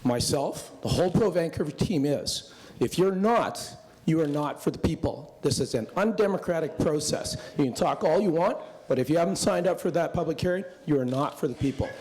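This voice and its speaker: A Canadian man, a mayoral candidate, speaking hoarsely with a sore voice, turning rousing and emphatic in a debate. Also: a little high, expressive, brisk, a little mellow, a little hiss or hum, rough recording. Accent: Canadian English. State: hoarse from illness. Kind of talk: political debate.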